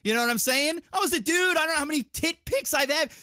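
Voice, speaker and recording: A man talking as a podcast host, giving a casual, opinionated monologue in an animated, rambling, profanity-heavy and argumentative way. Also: extremely high pitch, fast, no echo, clean studio sound.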